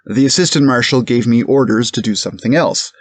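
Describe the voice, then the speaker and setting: A man's voice: medium pitch, a little fast, husky, dry recording, close to an everyday voice. A man playing a precise, deferential police captain giving hesitant, formal testimony in a courtroom reenactment. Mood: careful, formal. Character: careful, plain-spoken male police captain testifying as a witness. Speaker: witness.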